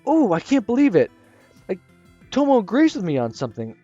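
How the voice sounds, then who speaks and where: A man voicing an excitable, dramatic teenage girl from a visual novel, whining and gushing. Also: high voice, brisk, slightly rough, dry recording, voice pushed up, heavily acted. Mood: whiny gushing. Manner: loud, whiny, gushing. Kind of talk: reading a visual novel aloud. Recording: slightly muffled with soft music.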